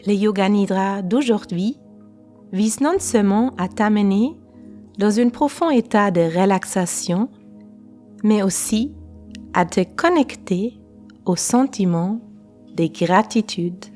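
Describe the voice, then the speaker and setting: A woman guiding a relaxation meditation in a calm, unhurried way, pausing between short phrases. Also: medium pitch. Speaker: guided meditation teacher. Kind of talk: slow guided relaxation meditation. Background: faint music.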